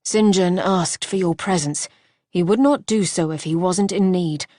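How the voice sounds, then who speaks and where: A woman voicing a gravely ill gentleman who speaks softly and tenderly in a lowered, hushed voice. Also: a little slow.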